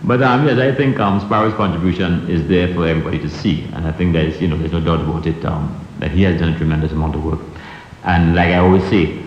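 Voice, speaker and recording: Deep-voiced Caribbean man, echoey old tape. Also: fairly even intonation, quick pace. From Trinidad-born, grew up in Grenada. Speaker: storyteller giving a tribute. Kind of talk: reflective interview talk. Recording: echoey, dull, noisy old radio tape.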